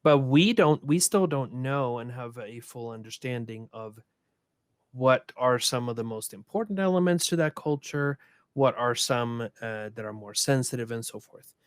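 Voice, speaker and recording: A man's voice: medium pitch, normal speed, clear, resonant tone, dry recording. A man from Sweden moderating a conference panel, speaking thoughtfully with many restarts and repeated words.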